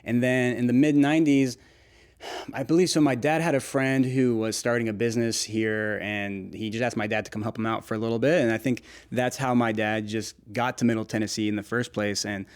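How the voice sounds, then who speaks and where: A young man from Nashville talks easily as a guest in an interview. He laughs a lot and stops and restarts his sentences, sounding friendly and unhurried. His voice sits at an everyday middle pitch. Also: steady tone, clean tone. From Nashville, United States. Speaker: interview guest, food creator. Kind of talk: casual conversational interview.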